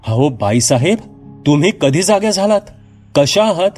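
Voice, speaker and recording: A man's voice: fairly high pitch, big pitch swings, moderate pace, darkish tone, faint music behind, voice pushed up. A man voicing a respectful, solicitous housemaid with a fussing manner in a told story. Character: deferential, startled housemaid, solicitous and talkative.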